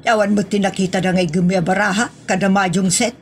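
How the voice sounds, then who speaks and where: A woman voicing a nagging, quick-tempered wife in a comedic audio drama, complaining and scolding. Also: mid-range voice, steady tone, noisy background, natural voice.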